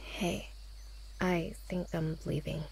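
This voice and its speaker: A woman playing a guarded, cynical teenage girl in a visual novel, with a quiet, dry, understated delivery. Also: on the low side, steady tone, slow, gravelly, a little hiss or hum, rough recording. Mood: aloof. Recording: loud music behind the voice.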